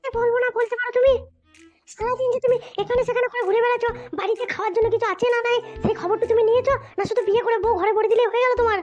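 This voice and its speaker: A woman voicing a foul-mouthed, nagging young wife in a comedy cartoon, with a West Bengal accent and a pitched-up voice. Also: steady tone, rapid-fire. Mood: scolding, aggrieved. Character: shrill, quarrelsome young wife, defiant and aggrieved. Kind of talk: amateur cartoon comedy squabbling. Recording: dull lo-fi sound, music behind.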